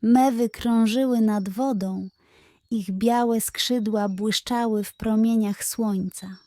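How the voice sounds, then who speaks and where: A woman storyteller narrating a scripted children's bedtime tale in an expressive, measured way. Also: on the high side, dry recording, studio quality.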